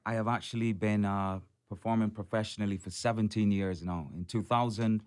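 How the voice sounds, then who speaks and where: A man's voice: fairly low pitch, steady tone, fairly quick, darkish tone, clean tone. A Barbadian soca artist in his forties, telling his story on a radio show in an upbeat way and often saying "man" and "blessed". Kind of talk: radio interview.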